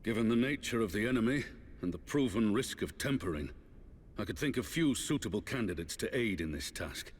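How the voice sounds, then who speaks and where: An actor playing a resolute military leader in a fantasy game, speaking formally and with authority. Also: male voice, on the low side, lively intonation, brisk, natural voice. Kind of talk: fantasy video game voice acting. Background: orchestral game music with strings.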